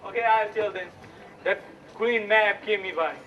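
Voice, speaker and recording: A man's voice: high-pitched, normal speed, lightly acted. A young man acting a dreamy, rambling character telling a nonsensical tale in a comic school Shakespeare parody. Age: young adult. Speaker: student actor in a school play. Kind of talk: comic stage monologue. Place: school stage, recorded from afar. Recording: muffled, distant, noisy stage recording.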